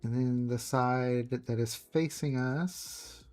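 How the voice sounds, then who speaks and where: A man streaming himself drawing, chatting casually and softly, often trailing off mid-thought as he works. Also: medium pitch, slow. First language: English. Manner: soft, musing, trails off mid-thought while working. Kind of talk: casual chat while drawing. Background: faint background music.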